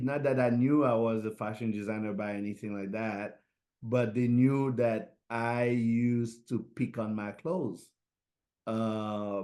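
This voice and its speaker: A middle-aged man from Haiti talks slowly and unhurriedly in a relaxed interview. His speech halts often, full of fillers and restarts as he feels his way through answers. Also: mid-range voice. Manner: halting, many fillers and restarts. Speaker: guest, fashion designer.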